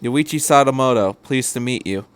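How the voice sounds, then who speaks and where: A courteous, obliging middle-aged man as a male narrator reads him, easygoing and close to an everyday medium pitch, recorded dry. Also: moderate pace, clean tone, no room sound, lightly acted.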